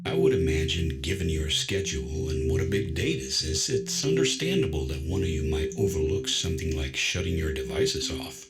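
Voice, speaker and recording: A man's voice: very deep voice, lively intonation, moderate pace, few pauses, over loud music. A man playing a genial, self-assured artificial intelligence in an audio drama, polite, chatty and matter-of-fact.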